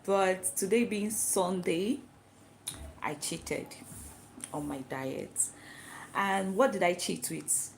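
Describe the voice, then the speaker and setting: Woman, Nigerian accent, Igbo native speaker, lowish voice, chatty, explains things, asks and answers her own questions. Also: slow pace, vocal fry, pauses often, slight background noise. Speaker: vlogger. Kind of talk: casual talk to camera.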